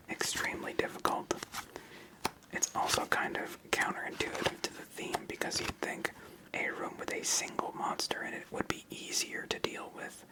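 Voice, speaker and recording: A person whispering gentle step-by-step ASMR commentary while playing a solitaire card game as host. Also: poor audio.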